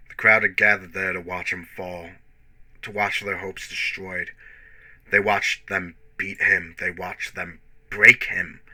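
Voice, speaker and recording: A man's voice: sits low, little pitch movement, slow, bright, forceful tone, no room sound. A man giving a grave, theatrical and emphatic scripted narration in the style of a radio play. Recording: music bed under the voice. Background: music.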